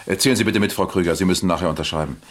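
Actor as a very deep-voiced, measured police captain. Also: male voice, slight background noise, close to an everyday voice. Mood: polite, businesslike. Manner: measured, courteous, pondering. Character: methodical, polite East German police captain. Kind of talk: German radio crime drama acting.

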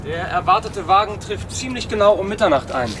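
A man's voice: high voice, animated, a little fast, crowd noise. A male actor playing a police colleague who reports and asks questions in a radio crime drama. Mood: inquiring. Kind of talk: naturalistic German radio crime drama acting. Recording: distant from mic, busy outdoor background.

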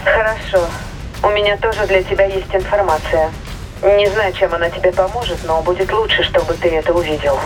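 A woman's voice: lowish voice, steady tone, a little fast, over music, poor audio. A woman playing an earnest female scientist on a spaceship in a sci-fi audio drama, explaining things over a radio link. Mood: businesslike, alert. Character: Marie, serious female scientist aboard a spaceship.

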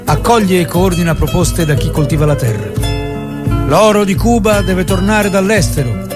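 Loud guitar music plays under a male chronicler in a stage drama. His voice is fairly high for a man, and he tells history solemnly in deliberate, declamatory phrases. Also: lively intonation. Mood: solemn, storytelling. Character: male chronicler of the Cuban revolution, solemn storyteller. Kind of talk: scripted theatrical narration.

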